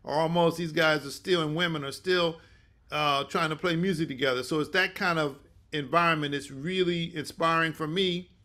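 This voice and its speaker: Bright-voiced older male host. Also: fairly high pitch, fairly even intonation. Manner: halting, many restarts. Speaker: host and mentor. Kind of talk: informal session hosting. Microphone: video call.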